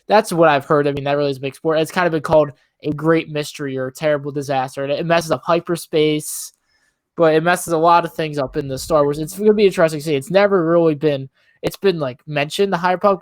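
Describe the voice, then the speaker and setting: A man's voice: fairly high pitch, steady tone, fast talker, no room sound. A young man co-hosting a podcast in casual chat, explaining things in an informal, rambling way. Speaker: podcast co-host. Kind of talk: casual pop-culture chat.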